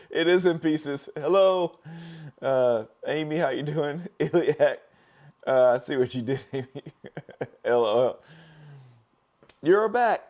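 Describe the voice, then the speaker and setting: A middle-aged man, hoarse and losing his voice, hosting a casual live stream as a dental lab technician, rambling with fillers and restarts while he works and talks to viewers. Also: a little high, a little mellow.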